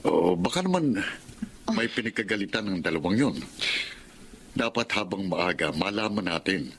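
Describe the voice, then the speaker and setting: A man's voice: deep voice, very animated, normal speed, fairly bright tone, slight background noise, full character voice. A man playing a stern, worried middle-aged father in a radio drama, earnest and questioning. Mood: concerned.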